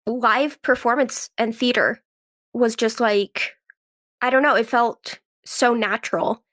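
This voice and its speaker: Female interview guest, slightly high register, informal conversational storyteller, dry sound. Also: slow pace, a bit bright, dry recording. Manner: chatty, informal, acts out quoted remarks. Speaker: podcast guest, actress and writer. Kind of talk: casual chat, personal storytelling.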